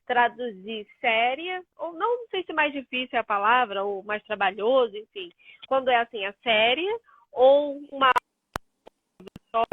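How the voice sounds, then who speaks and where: A woman's voice: on the high side, animated, moderate pace, no room sound. A woman moderating a live video interview, asking questions casually while searching for words. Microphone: phone audio on a video call. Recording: dry, tinny phone-like audio.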